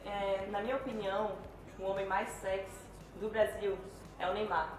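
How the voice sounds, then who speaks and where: A woman in her twenties with a Brazilian accent answers as a pageant contestant. Her pitch is average, and the room is very reverberant with clear noise. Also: level tone, a little fast. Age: 20s. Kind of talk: live question and answer. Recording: very echoey, noisy room.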